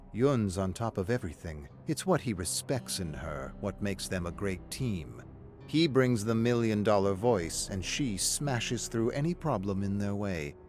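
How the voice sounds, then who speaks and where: A man narrating a scripted video game story as a suave, sinister storyteller in measured, theatrical phrases. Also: on the low side, darkish tone. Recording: music behind the narration. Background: music.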